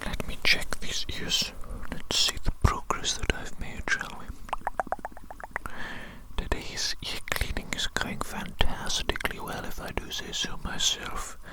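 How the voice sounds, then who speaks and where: An ASMR performer plays an evil doctor who speaks in a breathy, unvoiced whisper. The delivery is fussy and theatrical, with phrases repeated obsessively in an intimate roleplay manner. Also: takes it slowly, lightly acted, low-quality recording. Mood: pleased, self-satisfied. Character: fussy evil doctor fixated on cleaning the listener.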